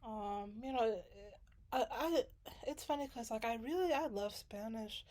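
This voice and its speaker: A woman's voice: on the high side, measured pace, mellow. A young woman from Sudan chatting casually as a graduate student, rambling with many fillers and restarts. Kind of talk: casual chat.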